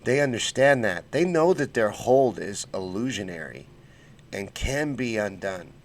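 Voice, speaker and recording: A low-voiced man hosts a casual livestream and answers chat comments. He talks bluntly, with folksy slang and fired-up conviction. His voice sits on the low side. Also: very animated, measured pace. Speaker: political livestream host.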